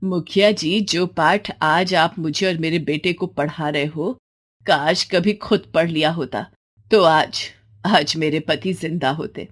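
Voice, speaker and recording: A woman's voice: low voice, slow pace. A woman voicing a wronged, middle-aged village widow in a story, speaking in a pointed, emotional, dramatic way. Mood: bitter, accusing. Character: wronged, bitter village widow and mother, defiant.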